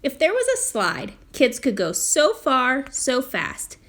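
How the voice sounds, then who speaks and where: A woman's voice: high voice, wildly swooping pitch, slow, fairly bright tone, pitched above the performer's own voice, exaggerated voice. A woman voicing an earnest, pleading, determined young girl in a children's storybook. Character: eager, determined young girl with big ideas. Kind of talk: children's storybook character reading.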